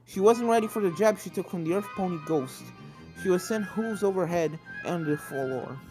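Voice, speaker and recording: Low-pitched woman narrating a story. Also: moderate pace, music in the background, poor audio. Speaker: fan fiction reader, narrator.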